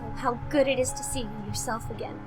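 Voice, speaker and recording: A woman's voice: a little high, steady tone, fast talker, over music, natural voice, rough recording. A woman playing a devoted, tender young fiancee in a melodramatic old-time radio style. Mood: adoring.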